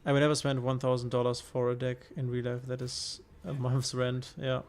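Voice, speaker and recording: A man's voice: medium pitch, level tone, normal speed, darkish tone, faint music behind. A man from Germany with a German accent, casually commenting on his card game while streaming and thinking aloud with hesitations.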